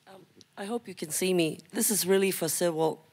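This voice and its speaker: A man from North Asia asking a question from the audience, slightly hoarse, hesitant with many fillers. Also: high-pitched, moderate pace, darkish tone. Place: large auditorium.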